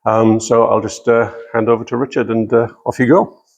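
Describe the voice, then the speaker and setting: A man's voice: mid-range voice, flat delivery, moderate pace, darkish tone. A man chairing a church meeting, welcoming everyone and handing over to the speaker, a little hesitant. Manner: friendly, hesitant with fillers. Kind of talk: welcome and introduction.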